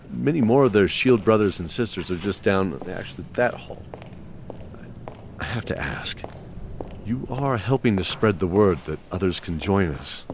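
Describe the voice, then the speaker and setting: A male actor voices a courteous keeper of a fantasy castle in an audio drama. His voice is deep, genteel and a little anxious, confiding in his guests against faint background noise. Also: a little mellow. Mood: worried, hesitant. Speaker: host. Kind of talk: in-character scripted announcement.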